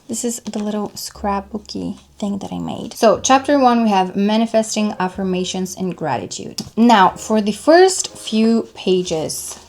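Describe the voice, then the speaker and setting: Chatty young woman. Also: medium pitch. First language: Bulgarian. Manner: chatty, relaxed, over-explaining. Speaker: vlogger. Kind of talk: casual show-and-tell.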